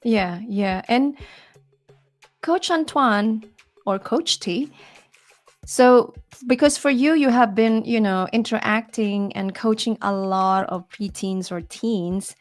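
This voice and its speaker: A middle-aged woman co-hosting a talk show, speaking earnestly in a flowing, expressive way with frequent "you know"s. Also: fairly high pitch, slow, soft background music. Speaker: podcast co-host. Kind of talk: reflective conversational talk.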